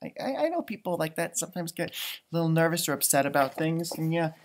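Storybook reader, male, 30s. Expressive and chatty, highly varied intonation, stretches key words for kids. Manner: expressive, chatty, drawn-out emphasis. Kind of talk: animated read-aloud with casual asides.

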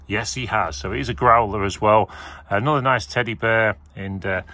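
A man's voice: fairly low pitch, moderate pace, sharp tone. A man showing auction lots to a handheld phone camera indoors, chatting casually with lots of fillers. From England. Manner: chatty, rambling, with many fillers. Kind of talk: casual video walkthrough. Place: indoors beside a leather armchair.

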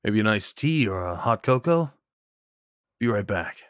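A man's voice: lowish voice, animated, measured pace, no echo, clean studio sound. Kind, hesitant young-hearted neighbor guy played by a man. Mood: gentle, awkward. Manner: hesitant, gently concerned, conversational. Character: kind, awkward, caring male neighbor comforting someone. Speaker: audio roleplay performer. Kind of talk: ASMR roleplay, soft one-sided dialogue acting.

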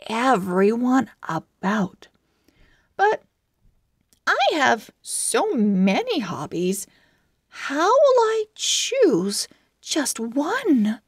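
An enthusiastic little girl owl shares her storybook diary, acted out by a woman reader. The voice is fairly high, with big lively swings in pitch and a very slow, exaggerated delivery for small children. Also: breathy edge, no echo, studio quality, full character voice. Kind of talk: children's storybook read-aloud.

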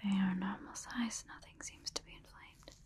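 A woman in her twenties in an ASMR exam roleplay as a scientist, speaking softly and clinically, close to the microphone. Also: medium pitch, fairly even intonation. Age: 20s. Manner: soft, clinical, deliberate phrasing. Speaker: ASMR performer playing a scientist.

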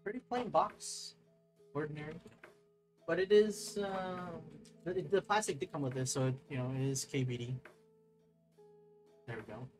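A young man chatting casually on a live stream at his desk, rambling and excitable, reading chat aloud and laughing often. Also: fairly high pitch, normal speed, long pauses, soft background music. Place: desk at home, overhead camera.

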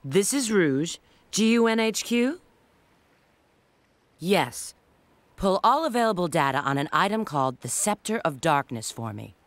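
A woman's voice: lowish voice, very animated, unhurried, long pauses, dry recording. An actress playing a sassy, sly female bat secret agent in a video game. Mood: businesslike. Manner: teasing, sly. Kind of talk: video game cutscene acting.

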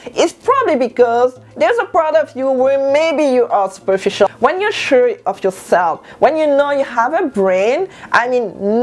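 A woman of about thirty whose first language is French, giving an animated motivational talk to camera in her bedroom and repeating lines for stress. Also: on the high side. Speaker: lifestyle vlogger. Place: bedroom at home, indoors.

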